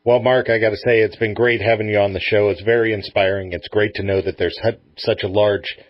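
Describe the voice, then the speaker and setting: A man's voice: lowish voice, fairly even intonation, fairly quick. A man hosting a radio talk show, chatting casually in an informal, self-deprecating way. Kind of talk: casual interview chat. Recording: muffled, dull lo-fi audio.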